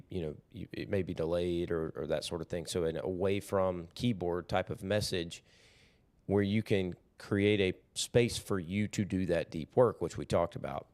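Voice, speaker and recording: Podcast host, male, very low pitch, hoarse and raspy, easygoing and rambling. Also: steady tone, moderate pace, darkish tone. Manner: easygoing, rambling, many fillers. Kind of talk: casual motivational talk.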